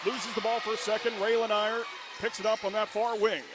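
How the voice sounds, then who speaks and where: A man with a high voice calls the action of a high school basketball game on radio. His play-by-play is fast and excited and jumps to shouts on the big plays. Gym noise and bouncing balls come through loudly behind him.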